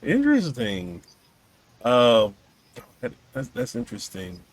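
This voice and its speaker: Male podcast host, mid-range voice with lively swings in intonation, informal, slightly halting questions. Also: medium pitch. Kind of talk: casual interview chat.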